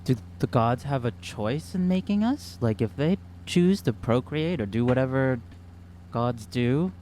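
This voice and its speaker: A man's voice: mid-range voice, lively intonation, measured pace, dark tone. Casual man roleplaying, faint hum. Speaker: player in an actual-play podcast. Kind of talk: in-character tabletop roleplay chat.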